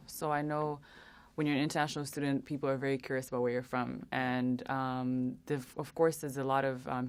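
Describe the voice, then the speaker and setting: A young woman answering interview questions earnestly, with many pauses and restarts. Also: very deep voice. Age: young adult. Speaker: interview guest.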